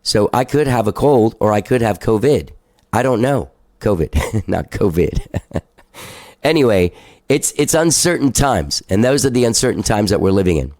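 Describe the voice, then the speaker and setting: A man's voice: on the low side, expressive, moderate pace, a little mellow, dry recording, studio quality. A male podcast host with a stuffed-up nose, chatting casually and loosely, swearing now and then and laughing at himself.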